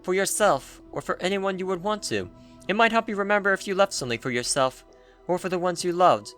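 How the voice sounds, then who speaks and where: A man's voice: sits high, lively intonation, fairly quick, a bit bright, faint music behind, voice pushed up. A man voicing a desperate, devoted young woman who pleads hesitantly with her lover in a dramatic game story reading. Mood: pleading.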